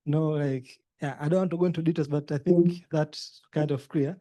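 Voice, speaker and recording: A man's voice: fairly high pitch, fairly even intonation, moderate pace, warm tone, no room sound. A young man from Tanzania with a Tanzanian accent, a native Swahili speaker, giving a talk with frequent hesitations and restarts. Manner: hesitant, many fillers and restarts. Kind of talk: presentation talk.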